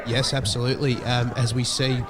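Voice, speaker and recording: An Australian man calls football from an outdoor ground, his voice croaky from a recent cold. He speaks in a flat, halting way, full of ums and restarts. He sounds set back from the mic, with a roomy echo and a loud crowd around him. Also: mid-range voice, recorded from a distance. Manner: hesitant, many ums and restarts. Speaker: co-commentator. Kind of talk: sports commentary.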